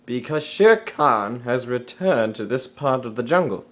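An actor playing a stern, protective panther in an animated film, authoritative and easily exasperated. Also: male voice, mid-range voice, unhurried, lightly acted. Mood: grave, firm. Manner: authoritative, formal, scolding.

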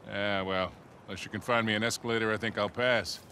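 An actor playing a gruff, loyal older treasure-hunting mentor in a video game cutscene, dry and a little halting. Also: male voice, lowish voice, steady tone, bright, forceful tone, slight background noise. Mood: dry joking.

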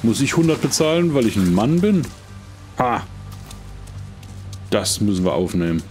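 A man's voice: lowish voice, sing-song, moderate pace, a little mellow, faint music behind. A middle-aged man streaming a game, chatting casually in a rambling, jokey way as he plays. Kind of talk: casual chat while playing.

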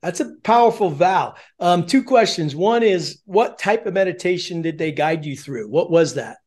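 A man's voice: sits high, expressive, moderate pace, darkish tone. A man in his fifties hosting an interview over a video call, affirming his guest eagerly and asking long, rambling questions. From born in Germany. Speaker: podcast host. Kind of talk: casual interview chat. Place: home office with shelves of sports helmets.

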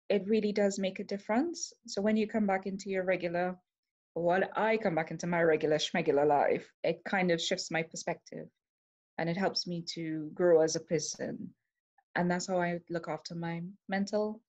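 A woman in her forties with a Trinidadian accent, speaking in a lowish, grounded voice. She talks earnestly and thoughtfully, seated at home and recorded through a laptop camera mic at close range. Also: darkish tone. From Trinidad and Tobago. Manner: earnest, reflective, gestures while talking. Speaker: interviewee sharing her story. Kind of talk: personal testimony to camera. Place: home room, seated on a sofa.

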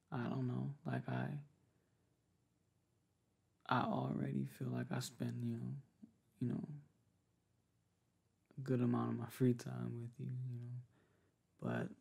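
A young man speaks in a soft, intimate ASMR roleplay style, as if in pillow talk. His voice sits at a medium pitch with plenty of vocal fry and a husky, breathy edge. He talks casually and haltingly, easygoing and unhurried in manner. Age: young adult. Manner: hesitant, halting, laid-back pillow talk. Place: small bedroom, lying on a bed.

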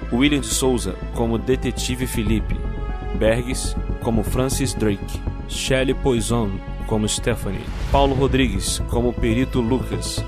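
Brazilian male announcer over music. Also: medium pitch. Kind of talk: scripted credits narration.

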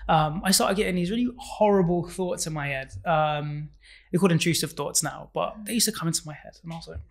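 Guy in his 30s on a podcast, higher voice with some fry, talks open and honest, desk mic in a small studio. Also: brisk. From England. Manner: open, earnest, restarts and fillers. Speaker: podcast guest. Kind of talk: casual interview. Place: small studio room, indoors. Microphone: desk microphone on stand.

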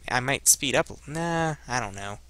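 A young man giving casual, halting gameplay commentary as a let's play host, rambling and muttering to himself into a headset. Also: mid-range voice, animated, pushed and bright, clear, resonant tone. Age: young adult.

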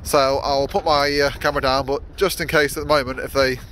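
A man's voice: mid-range voice, flat delivery, fairly quick, fairly bright tone, slight background noise. A young man vlogging casually from his workshop yard, rambling with many fillers and restarts. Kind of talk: casual vlog narration.

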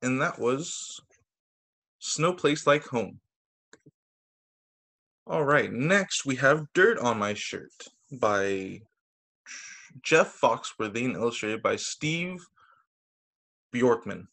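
Expressive male teacher reading a children's story. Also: mid-range voice, slow, creaky, pauses often. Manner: expressive, sing-song storytelling for young children. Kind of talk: children's story read-aloud. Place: indoors, on a video call. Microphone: video call microphone.

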